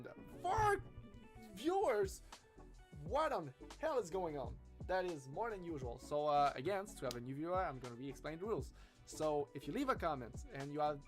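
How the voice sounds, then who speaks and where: A man live-streaming his coding work, chatting casually to viewers with lots of fillers and enthusiasm. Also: a little high. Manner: rambling and chatty, many fillers, enthusiastic about his tools.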